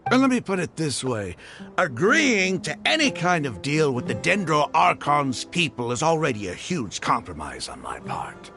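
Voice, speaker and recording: A zealous desert mercenary chief with a gravelly, sharp voice that swings wildly in pitch. He speaks slowly and fervently, like a preacher, over faint game music. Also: huge pitch swings, heavily acted. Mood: haggling. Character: zealous desert mercenary leader, proud and devout.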